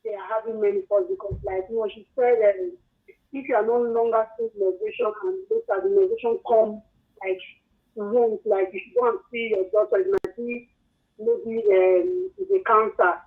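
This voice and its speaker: A woman's voice: a little high, lively intonation, moderate pace. Woman caller, hesitant, lots of fillers, tinny phone line.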